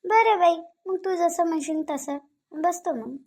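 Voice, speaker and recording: Casting: woman playing an apologetic, helpful village guest, high voice, Vidarbha Marathi accent, cartoon. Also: a little slow, a little mellow. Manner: apologetic, eager to help. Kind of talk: cartoon voice acting.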